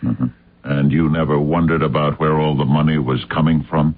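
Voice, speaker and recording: An actor playing a gruff, wary sleuth in a radio drama, with halting, probing questions and a very deep, gravelly voice. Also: male voice, steady tone. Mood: probing, suspicious. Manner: halting, pressing questions with many ums. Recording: muffled lo-fi radio drama recording.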